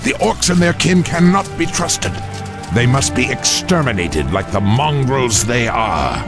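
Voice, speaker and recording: A man's voice: mid-range voice, animated, measured pace, music in the background, natural voice. An actor playing a stern old male admiral, commanding and contemptuous, in a game cutscene. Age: older. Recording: game cutscene with loud score.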